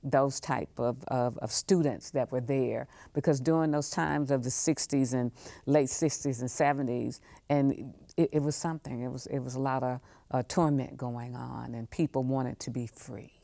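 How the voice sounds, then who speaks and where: An older woman from the American South talks in a very deep, gravelly voice, close and dry. She speaks as a podcast guest, reflective and emphatic, circling back to repeat phrases she wants to land. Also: fairly even intonation, moderate pace, dark tone, no echo. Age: 60s. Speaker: museum professional, podcast guest. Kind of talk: reflective interview conversation.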